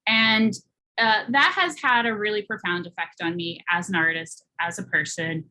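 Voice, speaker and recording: American woman, young adult, bright tone; reflective panel talk, halting with fillers. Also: medium pitch, fairly even intonation, poor audio. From United States. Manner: earnest and reflective, many fillers and restarts. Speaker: artist speaking on a panel. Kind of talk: panel talk presenting her art.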